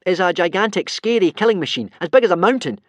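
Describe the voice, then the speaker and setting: A quick-witted young Viking boy pleads and bargains in a raised, strained voice, as a man puts him on. His pitch jumps high and loud, close to the mic with no room sound. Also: expressive, no room sound. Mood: urgent. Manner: high, urgent, shouting.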